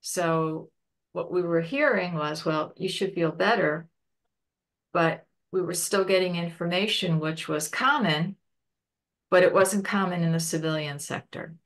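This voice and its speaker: A woman presenting a webinar on grief over a video call, pausing and searching for words as she explains. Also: on the low side, fairly bright tone. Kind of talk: online lecture and Q&A.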